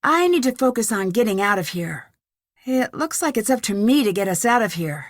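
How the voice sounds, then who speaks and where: A woman playing an inquisitive, level-headed young detective thinking aloud in a video game, earnest and crisp. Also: medium pitch, big pitch swings, moderate pace, no room sound, studio quality. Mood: wry, determined.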